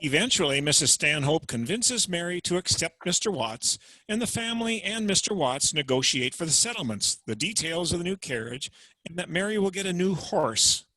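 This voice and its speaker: A man narrating and introducing a dramatic reading over a video call, in a clear explanatory manner. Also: on the high side, animated, normal speed, pushed and bright, no echo, rough recording.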